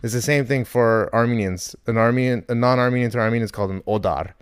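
Clear, smooth-voiced man hosting. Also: mid-range voice, fast, dry recording, clean studio sound. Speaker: comedy podcast host. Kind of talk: casual joking chat.

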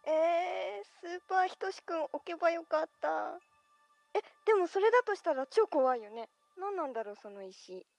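Woman acting a very high-voiced girl, hesitant and wondering, dry mic. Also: expressive, normal speed, creaky, darkish tone, dry recording, faint music behind, exaggerated voice. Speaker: radio drama performer. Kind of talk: amateur radio drama acting.

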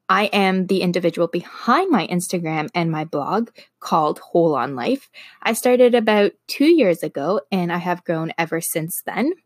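A woman's voice: on the low side, no echo, clean studio sound. A woman in her early twenties from Toronto with a Canadian accent and Slovak as her first language, chatting casually and a bit hesitantly to her followers as a wellness blogger.

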